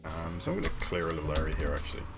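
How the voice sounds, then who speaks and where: A man in his thirties chatting casually on a live stream as he builds in a game, halting and full of fillers and restarts. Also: a bass voice, little pitch movement, creaky. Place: small room at home. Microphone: desk mic at a computer. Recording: background music under the voice.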